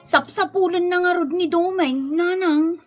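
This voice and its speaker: A woman's voice: extremely high pitch, measured pace, faint music behind. A woman playing an exasperated, insistent young wife in an Ilocano radio comedy drama. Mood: complaining. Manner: scolding, insistent, sharp. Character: Rona, exasperated young wife scolding her husband.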